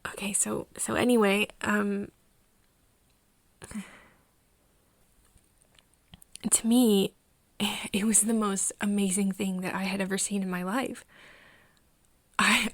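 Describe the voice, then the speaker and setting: A woman playing an earnest young woman confiding in a friend in an ASMR roleplay, speaking softly and haltingly, often in a whisper. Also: medium pitch, no room sound. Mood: tender, nervous. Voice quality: soft, breathy, often whispering. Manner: halting, hesitant confiding with pauses. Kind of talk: ASMR roleplay, intimate one-sided conversation.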